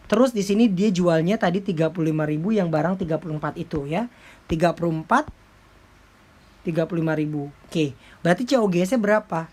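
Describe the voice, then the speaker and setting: A woman with a slightly low voice speaks as a lecturer. She explains things informally and step by step, often adding little check-in tags as she goes. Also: a little mellow. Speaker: accounting lecturer.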